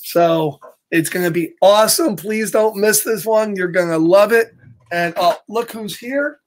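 A middle-aged American man hosting a children's story time, reading a picture book aloud with chatty asides in an animated, exaggerated way. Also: sits high, a little slow. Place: small home office, indoors.

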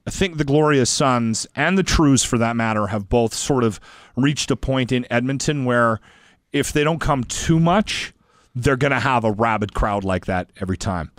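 A man's voice: mid-range voice, normal speed, no room sound, clean studio sound. A man hosting a radio interview, joking and teasing his guests. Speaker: radio host.

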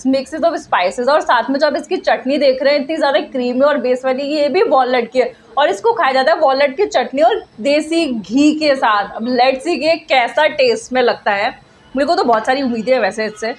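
A woman in her twenties reviewing food at a small cafe, chatting casually and drawing out her tasting remarks slowly. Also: sits high. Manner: chatty, mixes Hindi and English, slow drawn-out tasting remarks. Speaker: food vlogger. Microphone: handheld phone camera at arm's length.

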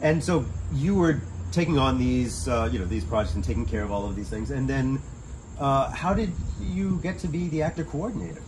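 A man's voice: medium pitch, animated, fairly quick, darkish tone, a little hiss or hum, poor audio. A middle-aged man hosting a casual interview, asking leading questions and affirming his guest, recorded on an outdoor porch at night. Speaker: host, scareactor trainer.

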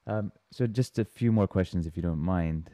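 Male host; low, mellow voice, relaxed, dry headset sound on a video call. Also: moderate pace, no echo. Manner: relaxed, reads audience questions. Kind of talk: moderating questions.

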